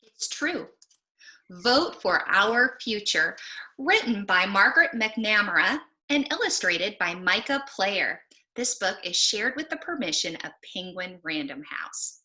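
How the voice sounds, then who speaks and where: Woman, bright and animated, children's storytime reader, deliberate emphatic delivery. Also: mid-range voice, low-quality recording. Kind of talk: expressive read-aloud and presenting for children.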